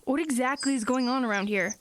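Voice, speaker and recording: A woman playing a plucky teenage girl in an audio drama, matter-of-fact, earnest and brisk. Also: high-pitched, lightly acted. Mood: brisk, questioning.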